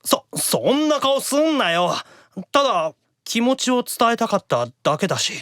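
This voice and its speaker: A man voicing a shy, flustered young man from an anime game, halting and defensive as he confesses affection. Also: extremely high pitch, very animated, fairly bright tone, no room sound, pitched above the performer's own voice, exaggerated voice. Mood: bashful, tender. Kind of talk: anime game character line.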